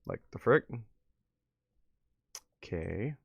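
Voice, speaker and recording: Low, creaky-voiced man streaming. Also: expressive, highs cut off. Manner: drifts between measured reading and offhand muttered commentary. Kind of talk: live reaction stream, reading game text aloud with casual asides.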